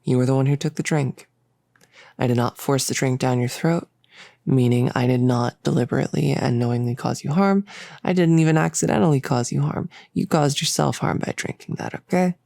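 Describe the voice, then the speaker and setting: Performer playing a bossy but gentle cultist, creaky voice, dry close sound, coaxing and rambling, roleplay audio. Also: mid-range voice, steady tone, brisk, no room sound, lightly acted. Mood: firm, smug. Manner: coaxing, hesitant, rambling, matter-of-fact. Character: bossy yet gentle cultist experimenter soothing a summoned demon. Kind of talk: roleplay audio drama, addressed to the listener.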